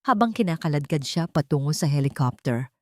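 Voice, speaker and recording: A woman's voice: low-pitched, lively intonation, a little fast, no room sound, studio quality. A Filipino woman narrating a scripted story in a dramatic storytelling style.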